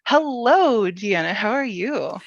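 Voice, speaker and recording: A woman hosting a casual panel interview, informal and halting, with lots of "like" and "you know". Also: mid-range voice, expressive. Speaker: panel host. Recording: dull, lo-fi, roomy sound.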